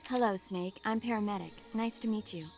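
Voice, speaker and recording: A woman's voice: mid-range voice, a little mellow, soft background music, close to an everyday voice. A woman playing a friendly, playful young military medic in scripted video game dialogue. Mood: friendly, teasing. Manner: brisk, playful.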